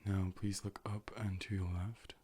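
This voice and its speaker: A calm, reassuring A.I. eye doctor played by a man with a low male voice. The delivery is soft ASMR role play, gently clinical and formal, sometimes slipping into a whisper. It is captured on a close microphone with a faint background hiss. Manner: measured, formal step-by-step instructions, gently clinical. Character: calm, polite robot A.I. optician, clinical and reassuring. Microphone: close binaural microphone.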